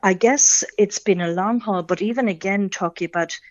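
A woman's voice: medium pitch, moderate pace, no room sound, dull audio. A middle-aged woman from Kerry with an Irish accent speaks as an interview guest, fluent and enthusiastic, reeling off lists of examples. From Ireland, Kerry. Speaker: film awards executive, interview guest. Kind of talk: interview answers.

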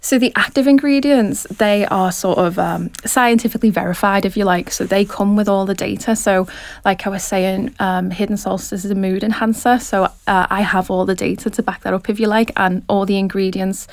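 A woman with a Liverpool accent in her thirties, talking about her business in an eager, chatty way and laughing easily. Her voice sits in a middle pitch and is recorded close and dry, with no room around it. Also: steady tone, normal speed, no room sound. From Liverpool, England. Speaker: skincare business founder. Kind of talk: interview answers.

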